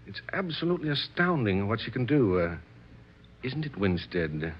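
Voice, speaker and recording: An actor playing an excitable, courteous gentleman who is devoted to séances, in a theatrical old radio drama style with effusive, old-fashioned clubman's speech. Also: lowish voice, normal speed, a little hiss or hum. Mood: enthusiastic. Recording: dull old radio recording.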